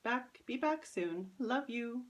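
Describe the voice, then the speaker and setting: Woman reading as a brisk mom calling out. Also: fairly high pitch, animated, pitched above the performer's own voice. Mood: affectionate, calling out. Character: busy, affectionate mother hurrying her daughters. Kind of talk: children's storybook character voice.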